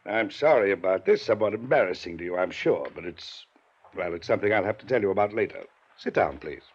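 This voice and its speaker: An actor playing a wealthy, hot-tempered older client in a 1950s radio drama, formal and quick to anger. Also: male voice, low-pitched, expressive, husky, heavily acted. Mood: apologetic. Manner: angry then apologetic, formal. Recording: dull old radio transcription.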